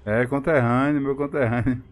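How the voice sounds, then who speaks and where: A man's voice: mid-range voice, fairly even intonation, normal speed. A man with a Bahian accent from Salvador, chatting casually with viewers and greeting them by name during a live game stream. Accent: Bahian Brazilian Portuguese. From Salvador, Bahia, Brazil.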